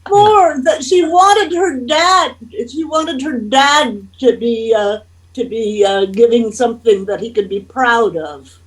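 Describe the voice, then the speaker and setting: A woman taking part in a casual online group discussion, halting and repeating phrases as she thinks. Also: a little high. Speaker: participant in online service. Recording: distant mic on group call.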